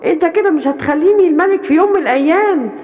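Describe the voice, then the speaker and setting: A woman voicing a scheming male lion villain in an Egyptian Arabic accent, slow and menacing. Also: very high-pitched, animated, darkish tone. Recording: long hall reverb.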